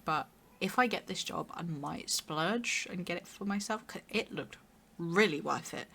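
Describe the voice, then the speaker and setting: A young woman with a hoarse, raspy voice, chatting casually and rambling while streaming a game. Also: lowish voice, measured pace, fairly bright tone. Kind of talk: casual chat while gaming.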